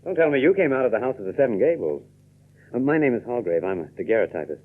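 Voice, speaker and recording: An actor playing a courteous, quietly intense young photographer in a radio drama, with a deliberate, teasing manner. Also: male voice, medium pitch, big pitch swings. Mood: friendly, eager. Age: young adult. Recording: dull old radio recording.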